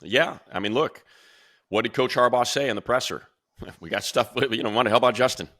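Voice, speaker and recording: A man co-hosting a sports talk show as a radio play-by-play announcer, energetic and rambling, talking in a studio. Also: fairly quick, no room sound. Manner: energetic, rambling, mimics fans in a high voice.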